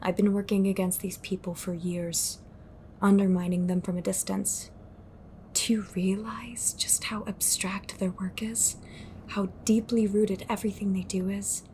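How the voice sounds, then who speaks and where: A woman playing a haunted, secretive fighter against an occult group in an audio drama, speaking cryptically and deliberately. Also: medium pitch, little pitch movement, dark tone, slight background noise, lightly acted. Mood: grave, insistent. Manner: cryptic, slow, deliberate, sighing. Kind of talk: audio roleplay drama acting.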